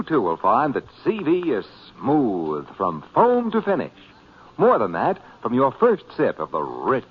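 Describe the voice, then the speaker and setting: A man's voice: mid-range voice, husky. A man reading a scripted advertisement as a 1940s radio announcer, with emphatic salesmanship. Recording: muffled 1940s radio recording.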